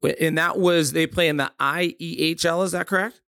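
A man's voice: fairly high pitch, fairly even intonation, fairly quick, gravelly, pushed and bright, clean tone, dry recording, clean studio sound. A man hosting a sports interview, asking loose, rambling questions full of restarts. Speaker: podcast host.

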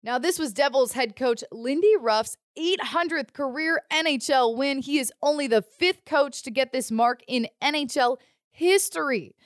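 Higher-voiced American woman hosting sports audio. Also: normal speed, dry recording, clean studio sound. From Ohio, United States. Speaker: sports podcast host. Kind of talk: scripted sports news and studio interview.

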